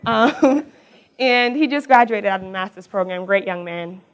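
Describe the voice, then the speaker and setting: A young woman with a raspy voice, giving a personal talk on a mic to a live audience, chatty and quick. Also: mid-range voice, lively intonation. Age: young adult. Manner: talks fast, warm and chatty, laughs between thoughts. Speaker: alumna speaker. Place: event hall with audience. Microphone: handheld or stage mic.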